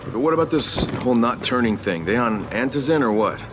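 An actor playing a persistent outsider hero in a video game, casual and direct, pressing with questions. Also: male voice, mid-range voice, animated, brisk, noisy background, natural voice. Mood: probing, uneasy. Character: gruff, persistent male city outsider hero. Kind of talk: video game cutscene acting.

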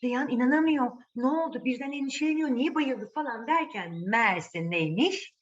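A woman's voice: high voice, expressive, normal speed. A woman telling a story to children in a conversational way, often putting questions to her listeners. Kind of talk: animated storytelling addressed to children. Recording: muffled audio with music behind.

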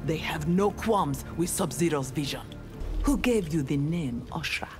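A stern young female ninja in a fighting game. Her voice is very low, formal and resolute, with faint music behind. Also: expressive, measured pace. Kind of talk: fighting game character dialogue.

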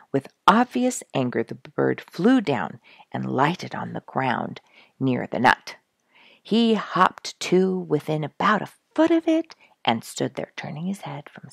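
Low, husky, creaky woman storyteller. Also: dry recording, studio quality. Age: middle-aged. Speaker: storyteller reading a book aloud. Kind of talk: expressive storybook narration. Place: small quiet room indoors, seated in an armchair.

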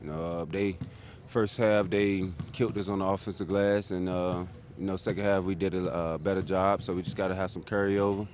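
A young man, a pro basketball player, answering reporters in a halting, laid-back way with many fillers, in an empty arena. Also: sits low, fairly even intonation, a little mellow, a little hiss or hum. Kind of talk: media scrum answers. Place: empty arena seats, indoors. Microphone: handheld reporter mics held close.

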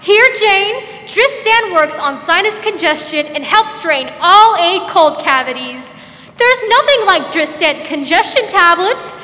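A cheerful 1940s radio housewife played by a young woman, with a very high, bright voice. She is earnest and sugary as she sells, in an echoey hall with faint noise. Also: expressive, unhurried, heavily acted. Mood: caring, reassuring. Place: school theatre stage, indoors. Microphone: stand microphone.

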